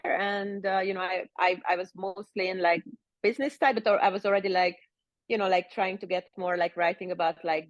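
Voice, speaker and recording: A woman's voice: lowish voice, no room sound, muffled recording. Woman, rambling with fillers. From Hungary. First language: Hungarian. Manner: rambling, heavy with fillers like "like" and "you know". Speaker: guest. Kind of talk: casual interview chat.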